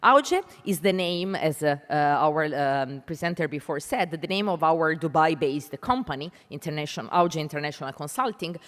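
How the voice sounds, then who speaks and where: Animated Italian-accented woman moderating on stage. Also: low-pitched. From Italy. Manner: emphatic, deliberate, poses questions to listeners. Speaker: panel moderator and educator. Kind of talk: conference stage presentation addressing an audience. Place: large indoor conference hall. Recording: reverberant hall sound.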